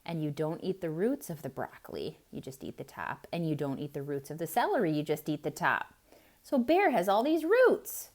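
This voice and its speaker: A woman reading a picture book aloud to children, pausing to point things out and ask them questions. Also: low voice. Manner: slow, expressive, asks children questions. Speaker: children's storyteller.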